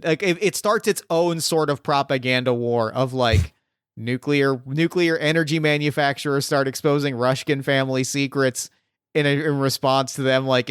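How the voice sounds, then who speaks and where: A man wraps up a tabletop session in loose, meandering talk studded with filler words. His voice is smooth and resonant and sits at an ordinary pitch. The recording is dry and close. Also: no room sound. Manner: rambling, heavy use of "like" fillers. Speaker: game master and podcast host. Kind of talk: casual post-game chat.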